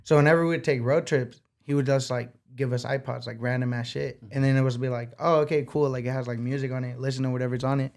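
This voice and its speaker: A man's voice: mid-range voice, fast, vocal fry, few pauses. A man from Baja, Mexico, raised in San Diego, telling stories as a rapper guest in a casual interview, full of slang and swearing. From born in Baja, Mexico, raised in San Diego. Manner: slangy, animated storytelling with frequent "like" and swearing.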